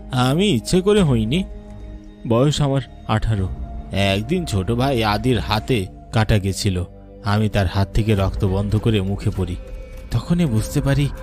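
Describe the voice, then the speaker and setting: A man voicing a young husband who is secretly a cannibal, gloating over his crimes in an animated horror story. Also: lowish voice. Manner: gloating, then pleading confessions. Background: strong background music.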